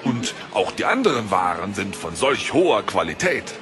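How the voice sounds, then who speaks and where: A man's voice: on the low side, big pitch swings, normal speed. A man playing a greedy, haughty market baker in an audio drama, with a salesman's manner. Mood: ingratiating. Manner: salesman-like, hesitant stammering when flustered. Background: music.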